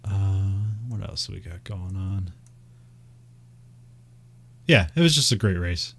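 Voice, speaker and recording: A man's voice: low voice, animated, takes it slowly, vocal fry, fairly bright tone. A man with a Midwestern American accent, chatting casually and rambling, with frequent laughs and fillers. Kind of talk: casual chat about racing.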